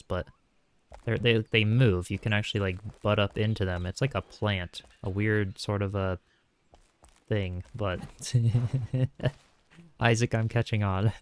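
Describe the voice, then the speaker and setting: A young man streaming a building game, talking calmly and softly while reading chat aloud, with frequent small chuckles. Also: on the low side. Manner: soft-spoken, calm, chuckles often, reads chat aloud. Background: faint game music.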